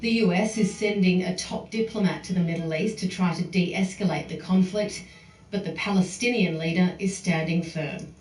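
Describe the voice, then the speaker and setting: A lower-pitched woman narrates a news report in a steady, clipped way. Her voice comes from far off in a roomy space, with faint background noise. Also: moderate pace. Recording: distant replayed broadcast, roomy, faint noise.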